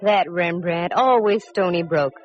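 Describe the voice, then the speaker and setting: A woman's voice: lowish voice, a little slow, close to an everyday voice. An actress playing a witty, self-assured woman private detective in a wry, sardonic 1940s radio drama style. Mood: wry narrating. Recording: dull vintage radio recording with music.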